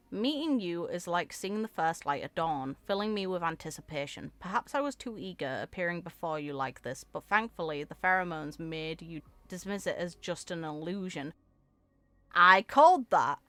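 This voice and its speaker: A woman with a fairly low voice reads game lore aloud in a dry, close recording. Her delivery is expressive and theatrical, in the manner of a content creator sharing a story. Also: no room sound. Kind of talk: reading game lore aloud with reaction asides.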